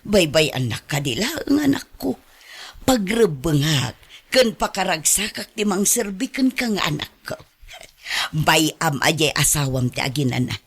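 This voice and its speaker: A woman's voice: deep voice, sing-song, measured pace, vocal fry, slightly rough, full character voice. A clingy, sarcastic elderly mother. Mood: doting, insistent. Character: doting, possessive elderly mother, sarcastic toward her daughter-in-law. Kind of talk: radio drama acting in Ilocano.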